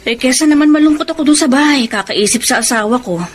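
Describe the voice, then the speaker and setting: A woman's voice: sits high, quick pace, a little hiss or hum, natural voice. A Filipino woman playing a devoted, lonely middle-aged wife in an emotional Tagalog radio drama. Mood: sad, earnest. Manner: plaintive, emotional. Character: long-suffering, devoted, lonely wife and mother.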